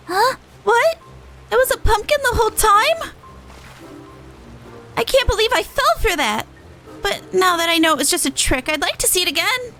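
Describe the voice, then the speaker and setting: A woman's voice: very high voice, animated, moderate pace, pauses often, pushed and bright, faint music behind, voice pushed up, exaggerated voice. A woman voicing a gullible, incredulous festival spectator who delights in tricks, in a fantasy game. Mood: incredulous, delighted. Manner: exclaiming, incredulous.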